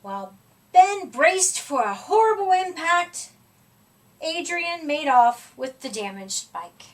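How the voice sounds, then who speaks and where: A woman reading a children's picture book aloud expressively, with deliberate dramatic emphasis and stretched-out words. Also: sits high, very animated, distant mic. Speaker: storybook reader.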